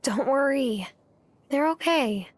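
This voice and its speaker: A woman playing a caring young newcomer to an island farm in a video game, gentle and reflective. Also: sits high, lively intonation, slow, no room sound. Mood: reassuring.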